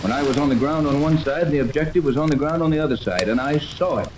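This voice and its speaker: An actor playing a confident, commanding yacht captain and earnest inventor in a 1930s radio serial. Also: male voice, on the high side, quick pace, natural voice. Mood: proud, explaining. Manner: commanding, explanatory. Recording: noisy, echoey 1930s radio recording.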